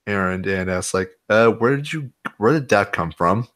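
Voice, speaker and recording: A man's voice: fairly low pitch, expressive, moderate pace, fairly bright tone. A young man playing a tabletop game, chatting casually and joking with the group. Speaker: player.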